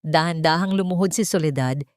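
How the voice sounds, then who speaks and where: A woman's voice: sits low, a little fast, darkish tone, dry recording, studio quality. A woman storyteller reading scripted narration in a dramatic style.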